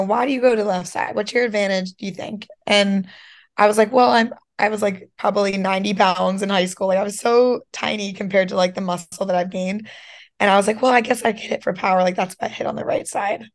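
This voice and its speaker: A young woman with a slightly hoarse voice talks casually as a podcast guest. She is chatty and loose, scattering "like" and "you know" through her sentences. Her pitch sits in the middle range for a woman. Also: steady tone, fairly quick. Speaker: guest, professional softball player. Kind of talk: casual interview chat.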